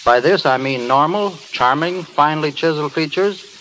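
A man's voice: on the high side, moderate pace, a bit bright. A man speaking formally as an editor guest in a scripted 1930s radio interview. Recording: noisy old 1930s radio broadcast.

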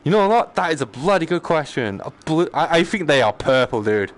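Animated, slangy man streaming a game. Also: a little high, brisk. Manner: slangy, sweary, joking. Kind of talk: casual live-stream gameplay chat. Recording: clear background noise.

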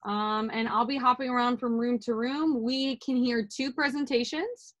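A woman's voice: fairly high pitch, steady tone, moderate pace, a bit bright. A woman in her thirties teaching a class over a video call from home, talking casually with many fillers. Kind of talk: online class instruction. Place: home room with art on walls. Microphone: laptop webcam video call.

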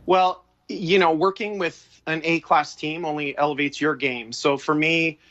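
A man's voice: on the high side, fairly even intonation, unhurried, a bit bright. A man in his forties with a Canadian accent, a film director speaking as a guest over a video call from a room at home, talking reflectively with many restarts. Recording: dull lo-fi video call audio.

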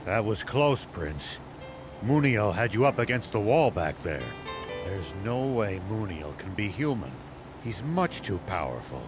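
Grave, low-voiced old soldier. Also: male voice, very animated, unhurried, music in the background. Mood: concerned. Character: grave older male soldier, steady and protective. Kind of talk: video game voice acting.

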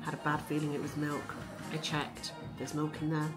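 A woman's voice: deep voice, completely flat delivery, normal speed, loud music behind the voice. A woman in her thirties, a travel vlogger, talking chattily to a handheld phone camera outdoors. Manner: chatty, upbeat, speaking straight to camera. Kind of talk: casual travel vlog narration. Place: outdoors on busy city streets and park paths. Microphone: handheld phone camera at arm's length. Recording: outdoor phone recording with street noise. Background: traffic and street noise.

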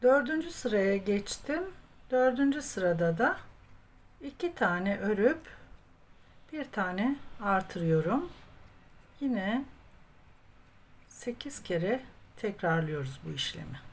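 A woman narrates a hands-on craft tutorial at a slow pace. Her pitch is average for a woman, and she gives instructions step by step as she works. There is clear background noise in the recording. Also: big pitch swings. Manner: counts stitches aloud, addresses viewers as friends. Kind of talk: step-by-step crochet tutorial narration while working.

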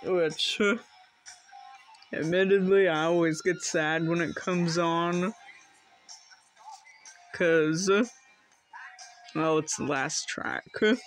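A vlogger giving casual, rambling unboxing commentary in an offhand, halting way. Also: medium pitch, steady tone, faint music behind. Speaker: video host showing flea market finds.

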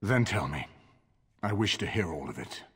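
An actor playing a grave, dignified old nobleman in a video game, speaking formally and with measure in a raspy voice. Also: low voice, sing-song, normal speed, fairly bright tone, heavily acted. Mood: grave, grateful. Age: older.

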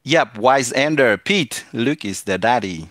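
A man's voice: medium pitch, expressive, measured pace, fairly bright tone, no room sound, studio quality. French-accented man streaming a game. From France. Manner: hesitant, many fillers and restarts. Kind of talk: casual live-stream chat with viewers.

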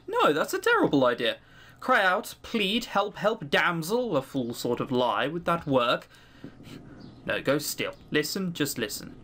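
A man's voice: fairly high pitch, a bit bright. A man giving let's-play commentary, reading game text aloud and breaking off for dry, sweary, critical asides.

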